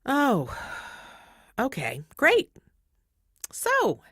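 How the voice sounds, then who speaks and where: Dry, exasperated female boss, animated intonation. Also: high-pitched, very slow, breathy edge, no room sound, full character voice. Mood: dry, restrained. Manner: dry, businesslike. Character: exasperated, dryly sarcastic female agency chief executive. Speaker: actor. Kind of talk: comedy audio drama acting.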